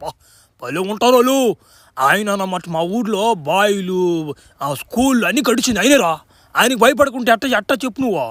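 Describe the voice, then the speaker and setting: A man's voice: high voice, animated, brisk, fairly bright tone, no echo, studio quality. A man voicing a cautious, deferential young villager in a cartoon comedy. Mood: cautioning.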